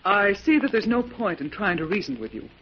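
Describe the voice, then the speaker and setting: A woman's voice: mid-range voice, sing-song, a little fast, a bit bright. A woman playing a frightened but defiant young assistant, earnest and urgent, in 1940s radio melodrama style. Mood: anxious, pleading. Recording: dull, noisy 1940s radio recording.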